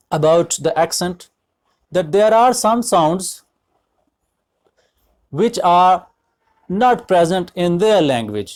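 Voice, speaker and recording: Higher-pitched Pakistani man teaching. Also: darkish tone. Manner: deliberate, explanatory, switches between English and Urdu. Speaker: English language teacher. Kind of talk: instructional lecture to students.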